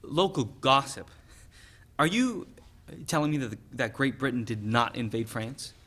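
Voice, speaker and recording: A man's voice: medium pitch, expressive, moderate pace, a bit bright. A young man playing an anxious but loyal condemned prisoner in a dramatic play reading, questioning and stammering. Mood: bewildered. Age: young adult.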